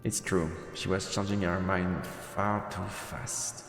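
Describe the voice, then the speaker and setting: A man's voice: low voice, fairly even intonation, slow, a bit bright, slight background noise. A man streaming a game, reading a visual novel's story narration aloud. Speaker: let's play streamer. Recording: roomy reverberant sound, music behind.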